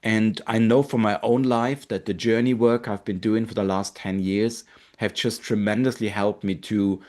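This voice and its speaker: A man in his fifties from Austria, with an Austrian German accent, hosting a live online webinar in an earnest, gentle way with fillers and pauses. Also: on the low side. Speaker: webinar host, marketing director.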